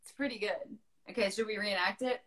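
A young woman chatting casually on a livestream video call, bubbly and quick to laugh, speaking into a phone held at arm's length. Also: medium pitch, fairly bright tone, rough recording. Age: young adult. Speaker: livestream co-host. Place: bright room indoors.

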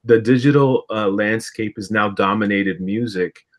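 A man in his forties reminiscing in a measured, casual way as a guest on a video call from a room of collectibles. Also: lowish voice. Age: 40s. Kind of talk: casual discussion.

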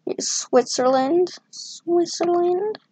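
A woman's voice: extremely high pitch, slow pace, a little mellow. A woman talking to herself in casual, rambling commentary as she draws a map on screen, with jokey asides. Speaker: map-making video creator. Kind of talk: casual commentary while drawing.

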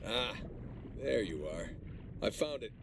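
Wry salvage diver, noisy background. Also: male voice, on the low side, expressive, slow. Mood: casual, wry. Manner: casual, wry, muttering to himself. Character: easygoing, wisecracking adult male salvage diver. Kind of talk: naturalistic video game voice acting.

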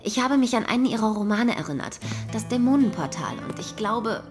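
A woman's voice: a little high, expressive, brisk, over music, lightly acted. A woman playing a determined female detective. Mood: persuasive. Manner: firm, probing, commanding. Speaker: police investigator. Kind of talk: German radio drama acting.

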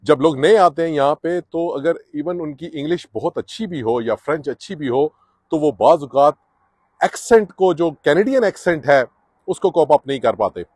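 A man's voice: on the high side, expressive, moderate pace, darkish tone, slightly rough, no room sound. Middle-aged man giving earnest outdoor advice. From Pakistan or India. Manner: earnest, advising, with many filler "uh"s. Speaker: immigration advice vlogger. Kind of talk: casual explanatory vlog to camera. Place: outdoors on a sunny residential street.

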